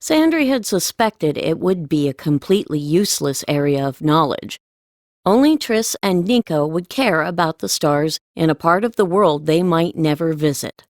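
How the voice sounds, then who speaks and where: A woman's voice: lowish voice, no echo, studio quality. A woman reading scripted storybook narration as an audiobook narrator.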